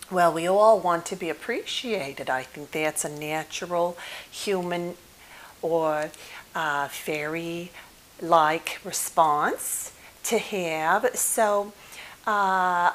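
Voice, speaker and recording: A woman doing an ASMR role play as a polite, patient psychotherapist, speaking haltingly and gently. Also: on the low side, low-quality recording. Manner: hesitant, halting, gently polite, with murmured acknowledgements. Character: polite, mildly flustered female psychotherapist, patient and attentive. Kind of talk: ASMR role play, improvised one-sided conversation. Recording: clear background hiss.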